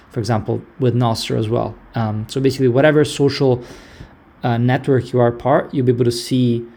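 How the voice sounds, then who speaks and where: A man hosts a live online audio chat in a gravelly, mellow voice. He talks casually and haltingly, stopping and restarting often. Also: mid-range voice. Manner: halting, many fillers and restarts. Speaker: host of an online audio discussion.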